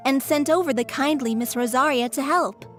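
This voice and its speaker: Earnest, high-voiced young animal rescuer. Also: female voice, normal speed, fairly bright tone, faint music behind. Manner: earnest, polite, eager. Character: Valerina, earnest anxious young woman who rescues animals. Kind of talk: English game dub voice acting.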